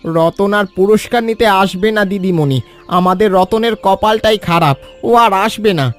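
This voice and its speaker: A man playing a loyal, protective village uncle in an animated children's story, blunt and aggrieved in manner. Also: sits high, a little slow, soft background music.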